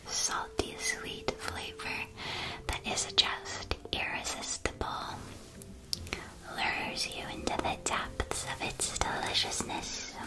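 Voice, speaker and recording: A woman's voice: medium pitch, natural voice, rough recording. Woman playing a whispering, friendly magical candy shopkeeper. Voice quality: soft, breathy whisper. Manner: gentle, unhurried, enthusiastic product presenting. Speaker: ASMR performer playing a shopkeeper. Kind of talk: whispered ASMR roleplay, scripted sales patter.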